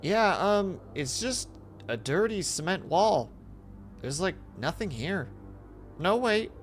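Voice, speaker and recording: Young female test subject played by a man, horror audio story: frightened, whiny, exclamatory, pitched up, very animated. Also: slow, faint music behind, exaggerated voice. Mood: uneasy. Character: frightened, disgusted young woman test subject exploring dark stairs. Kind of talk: horror fiction audio reading.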